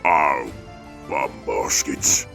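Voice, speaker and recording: A performer voicing a brutish, blustering villain hunter in a comic fan dub, gravelly and threatening. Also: a bass voice, extremely slow, heavily acted. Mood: pained grumbling. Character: brutish villain hunter, menacing, blustering male beast rider. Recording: loud music behind the voice.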